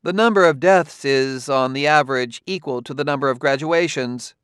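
A man reading an audiobook, voicing a brisk, matter-of-fact woman who runs a children's farm, easily exasperated. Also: mid-range voice, a bit bright, clear, resonant tone, no echo, clean studio sound, pitched above the performer's own voice, close to an everyday voice. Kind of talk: audiobook character voice.

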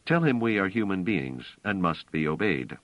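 A man's voice: low voice, very animated, normal speed, no echo, muffled recording. A man voicing a weary, commanding male space traveler in a storybook reading, speaking firmly.

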